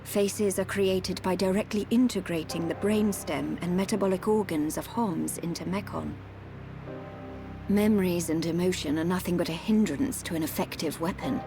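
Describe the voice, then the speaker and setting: A composed, gentle game character of a machine people voiced by a woman. She explains things formally and sadly in a lowish voice, with faint music behind. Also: normal speed, close to an everyday voice. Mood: solemn. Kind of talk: video game cutscene acting.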